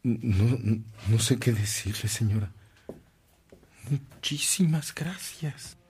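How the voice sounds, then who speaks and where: Slow-spoken, gentle priest. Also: male voice, mid-range voice, animated, slightly rough, exaggerated voice. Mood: courteous, grateful. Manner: gentle, measured, earnest. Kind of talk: radio drama acting.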